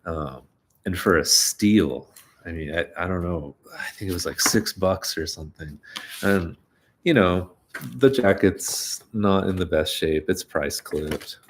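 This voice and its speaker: A man's voice: low-pitched, a little slow, a little hiss or hum. A man reading stories aloud on a live stream, chatting loosely between readings, a bit stuffy and nasal. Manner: rambling, relaxed, many fillers. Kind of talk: live-streamed story reading with casual chat.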